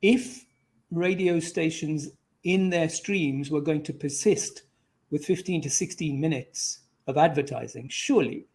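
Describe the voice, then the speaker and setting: A man's voice: on the high side, a little slow, a little mellow. A man interviewing a guest, putting questions and counterpoints in a conversational way.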